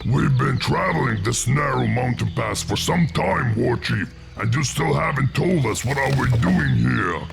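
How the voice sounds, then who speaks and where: A man playing a brash, impatient orc warrior in a fantasy game, with a rough gravelly voice. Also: mid-range voice, lively intonation, unhurried, few pauses, over loud music. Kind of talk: fantasy game cutscene acting.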